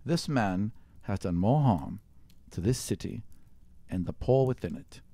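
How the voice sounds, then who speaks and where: Guy doing a stern assassin mom for a dnd game, grave and measured, mellow, dry close sound. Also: lowish voice, expressive, no echo. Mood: grave, resolute. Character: stern, shrewd mother training her assassin son.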